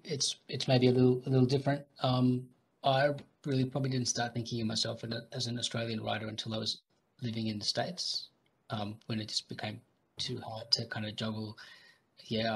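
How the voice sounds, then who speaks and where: A man's voice: mid-range voice, level tone, brisk, vocal fry, husky, low-quality recording. A young adult man speaking on a video call with a headset, hesitant, with many ums. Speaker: panelist. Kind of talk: video call panel discussion.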